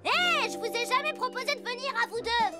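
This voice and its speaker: An actor voicing an eager, boastful little boy in a French children's cartoon, excitable and keen to impress. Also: extremely high pitch, brisk. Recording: loud children's music behind.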